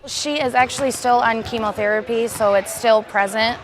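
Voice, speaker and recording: A woman's voice: fairly high pitch, fairly even intonation, normal speed, noisy background. A woman, a parent, talking casually in an interview.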